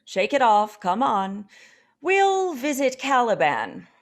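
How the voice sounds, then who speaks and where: A woman's voice: a little high, huge pitch swings, slow pace, heavily acted. A woman playing a stern, commanding exiled sorcerer in a Shakespearean radio play, declaiming imperiously. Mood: coaxing.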